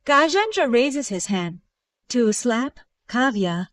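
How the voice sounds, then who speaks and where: Unhurried female story narrator. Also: on the high side, big pitch swings, a bit bright, no room sound, studio quality, far from the mic. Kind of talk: scripted moral story narration.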